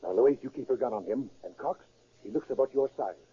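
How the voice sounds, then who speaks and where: An actor playing a bossy, confident safecracking gang leader in a 1930s radio drama. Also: male voice, medium pitch, fairly quick, slightly rough. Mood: brisk orders. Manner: commanding, cocksure. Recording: muffled vintage 1930s radio recording.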